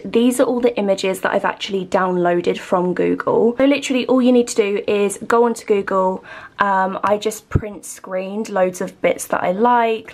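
A British woman in her twenties chatting casually and informally to camera as a lifestyle vlogger, in a room at home. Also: mid-range voice. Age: 20s. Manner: informal, chatty, frequent "like" and "literally". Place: small home office room indoors.

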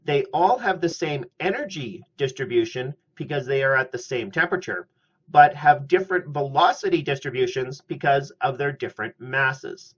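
A middle-aged man giving a scripted chemistry lecture at a steady, methodical pace, recorded on a webcam in a small room. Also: medium pitch. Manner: measured, methodical explaining. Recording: slightly muffled lo-fi audio.

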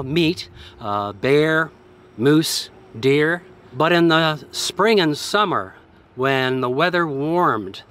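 A man in his sixties guiding a walking tour, speaking in a measured way and listing details with emphasis, recorded outdoors by a harbor. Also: fairly high pitch, fairly bright tone. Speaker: tour guide. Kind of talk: guided walking tour narration. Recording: faint outdoor background noise.

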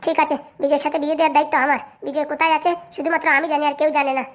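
A woman's voice: sits high, little pitch movement, racing, close to an everyday voice. A woman playing a cocky, scheming young woman in a comic cartoon, brash and boastful. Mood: bossy, secretive.

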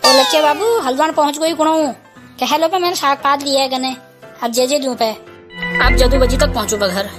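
A woman's voice: sits high, moderate pace, music in the background, lightly acted. A woman voicing an exasperated, scolding village mother with a Kumaoni accent in an amateur cartoon comedy. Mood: chatty.

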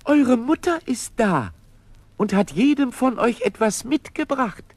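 A man's voice: very high voice, lively intonation, measured pace, full character voice. An actor playing a sly wolf who mimics a sweet mother goat, coaxing and sugary, in a 1970s radio play. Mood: falsely sweet.

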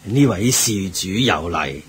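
An actor playing a kindly, humble old travelling Buddhist monk in a Cantonese radio drama, courteous and exclamatory, with a husky voice. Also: male voice, low voice, expressive, slow, slight background noise. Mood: polite, friendly. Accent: Cantonese, Hong Kong.

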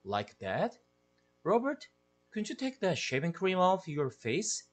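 A fretful middle-aged mother, voiced by a male audiobook reader, talks in a raised, anxious voice that swoops up and down. Her tone is husky and a little breathy. She sounds flustered and excitable. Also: takes it slowly, full character voice. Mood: exasperated. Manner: anxious, breathless, rising pitch.

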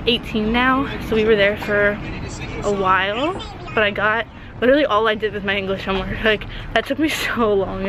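A teenage girl vlogging casually into a phone held at arm's length, chatty with lots of fillers, often speaking softly. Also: on the high side, noisy background. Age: teens. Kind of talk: casual vlog chat. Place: home, car and outdoors.

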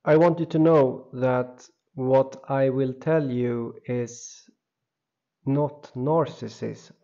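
A man from Sweden with a Swedish accent, speaking in a halting, insistent voice-over monologue full of restarts. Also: medium pitch, steady tone, warm tone, dull audio. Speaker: video creator.

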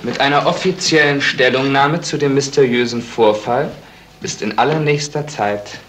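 A man's voice: mid-range voice, moderate pace, fairly bright tone, natural voice. An actor playing a flippant clubman who reads aloud with mockery, in a 1970s German radio crime drama. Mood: mocking. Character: flippant clubman reading news aloud mockingly.

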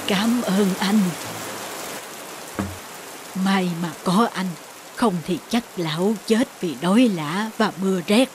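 A woman's voice: mid-range voice, slow, long pauses, background noise, close to an everyday voice. Woman voicing an old beggar man immortal. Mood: humble pleading. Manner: humble and pleading, later calm and solemn. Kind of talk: cartoon voice acting. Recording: loud background music.

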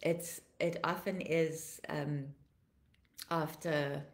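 Woman pastor, 50s, croaky and tired, effusive greetings, fervent repeated declarations, home webcam stream. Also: sits low, fairly even intonation, very slow, reverberant. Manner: effusive, greeting viewers by name. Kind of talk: live-stream greetings and prayer. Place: living room at home, indoors. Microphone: laptop webcam, video call framing.

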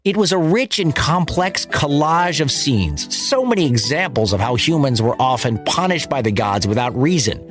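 A man's voice: mid-range voice, big pitch swings, normal speed, few pauses. Man, theatrical myth narrator, emphatic, widely varied intonation, light music underneath. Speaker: storyteller. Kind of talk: dramatic scripted narration of a myth for children.

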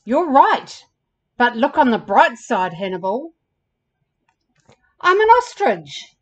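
Woman voicing an upbeat high-pitched dancing ostrich. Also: sing-song, slow pace, lo-fi sound, full character voice. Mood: encouraging. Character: upbeat, encouraging male ostrich who loves to dance.

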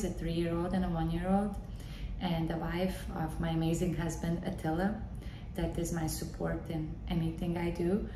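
Thirties woman host from Bosnia, lowish voice, solo podcast, earnest and filler-heavy; echoey room, audible background noise. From Bosnia and Herzegovina. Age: 30s. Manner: earnest storytelling with frequent fillers. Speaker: podcast host and tennis coach. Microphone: large desk condenser mic in front.

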